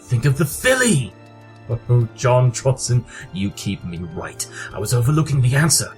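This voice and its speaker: A man playing a brilliant, excitable detective pony, speaking formally and theatrically in a scripted audio drama. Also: mid-range voice, soft background music, close to an everyday voice. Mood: giddy, excited. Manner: theatrical, formal, deliberate exposition. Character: brilliant, excitable male detective pony, giddy over puzzles.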